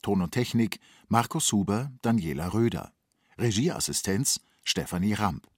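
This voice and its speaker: A man with a low voice makes a formal radio announcement. He reads in a measured, scripted way, in a dry studio with no room sound. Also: animated, slightly rough, dry recording. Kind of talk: scripted radio play credits.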